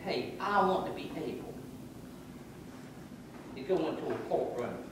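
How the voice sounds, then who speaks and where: A man's voice: fairly high pitch, expressive, a little slow, background noise. A man, giving a folksy, preacherly motivational talk to youth in a large wooden camp hall. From North Carolina. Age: 60s. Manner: folksy, preacherly, gesturing while pacing. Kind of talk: motivational speech. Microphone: far camera. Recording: echoey hall from far off.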